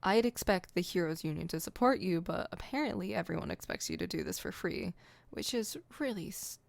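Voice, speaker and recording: A woman's voice: lowish voice, huge pitch swings, brisk, gravelly, no echo, heavily acted. A woman playing a theatrical, poetic supervillain in an ASMR roleplay, haughty and dramatic, stammering when flustered. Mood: curt, disdainful.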